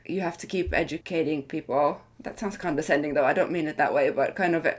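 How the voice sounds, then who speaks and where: A woman's voice: deep voice, brisk. A woman speaking as a design leader in an interview, reflective and careful to qualify what she says. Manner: thoughtful, hedging, self-qualifying.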